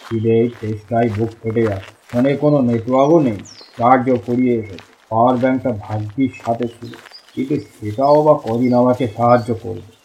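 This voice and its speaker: Man playing a low-pitched, lofty wandering holy man. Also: steady tone, brisk, a little mellow, slight background noise, lightly acted. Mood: weary. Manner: speaks condescendingly to villagers as children. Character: wandering holy man with a mobile phone, calm, lofty, fatherly. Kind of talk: cartoon voice acting. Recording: dull sound with music behind.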